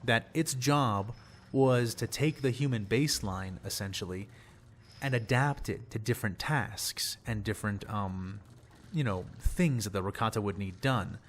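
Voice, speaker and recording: Young male host, slightly hoarse and low-pitched, rambling casual lore explainer with some faint background noise. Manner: rambling, repeats words for emphasis. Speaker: channel host.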